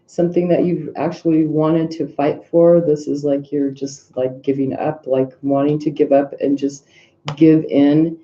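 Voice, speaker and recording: A woman's voice: sits low, little pitch movement, a little slow, mellow. A woman with a nasal voice and blocked sinuses, giving a casual, rambling tarot reading full of fillers and little hums. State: congested sinuses. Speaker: tarot reader. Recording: echoey room, dull lo-fi sound.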